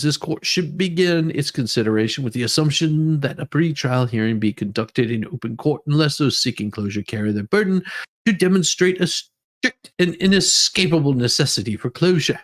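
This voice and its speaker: A man hosting a livestream, reading documents aloud and adding informal, rambling commentary in which he often repeats words. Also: on the high side. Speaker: livestream host. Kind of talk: dramatic reading of legal documents with casual commentary.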